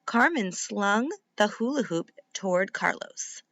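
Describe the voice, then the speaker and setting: A woman reading a children's book aloud like a teacher, in an expressive, deliberate and instructive way. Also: medium pitch, dry recording. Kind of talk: animated read-aloud narration for young children.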